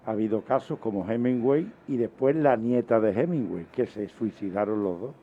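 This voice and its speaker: A man's voice: lowish voice, moderate pace, dark tone, muffled recording. A man with a Spanish accent from Spain, chatting casually as a radio show host.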